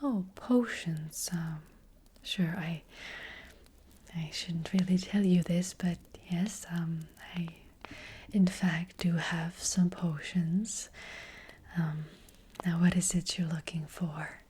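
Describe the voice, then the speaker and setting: A woman playing a gentle fortune-telling witch in a whispered, breathy ASMR roleplay, speaking hesitantly and reassuringly close to the microphone. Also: fairly low pitch, steady tone. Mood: gentle, cautioning. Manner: hesitant, unhurried, softly reassuring. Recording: faint noise, soft clicks.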